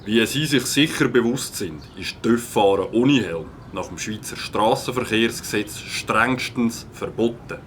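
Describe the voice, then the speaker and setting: Guy doing strict swiss cop for kids audio play, slow, formal, officious, bit of background noise. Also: lowish voice, a bit bright. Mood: stern. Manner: officious, measured. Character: stern, formal male police officer. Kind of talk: children's audio drama acting.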